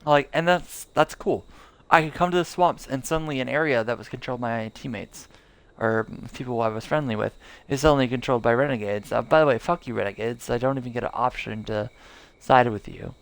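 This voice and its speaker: A man's voice: medium pitch, fairly quick, vocal fry, dry recording. A young man streaming a game live, rambling casually with lots of fillers and restarts. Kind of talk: casual live gameplay commentary.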